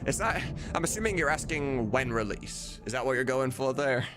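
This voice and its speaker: A man chats casually on a livestream, rambling and swearing freely with an amused air. His intonation swings in a lively way. Video game music plays clearly behind him. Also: medium pitch, moderate pace. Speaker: live streamer and game modder.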